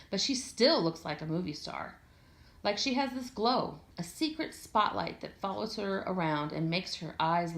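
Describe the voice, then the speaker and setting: Female story reader, echoey room, medium pitch, conversational, sardonic, expressive first-person narration. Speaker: audiobook reader.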